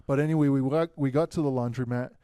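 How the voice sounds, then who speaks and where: A young man telling stories in a relaxed podcast chat, with many fillers as a guest. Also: medium pitch, fast talker, clear, resonant tone, no echo, clean studio sound. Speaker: guest, future pastor. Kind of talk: casual podcast conversation.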